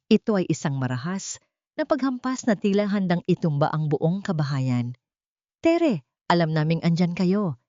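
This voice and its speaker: A woman narrates a dramatic audiobook story with theatrical suspense. Her voice sits fairly low for a woman. The recording is dry and close, though somewhat muffled. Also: darkish tone, no echo.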